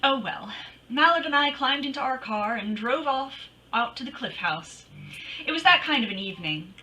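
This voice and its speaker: High, animated radio lady detective played by an actress. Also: moderate pace, distant mic, low-quality recording. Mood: wry, animated. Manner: dramatic, wry, teasing. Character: witty, feisty woman private detective narrating her case. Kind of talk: 1940s radio detective acting.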